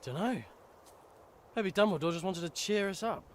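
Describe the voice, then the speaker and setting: A man's voice: high voice, normal speed, a little hiss or hum, lightly acted. A man playing a blunt, matey, doubtful teenage boy wizard with an English accent in a video game. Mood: puzzled.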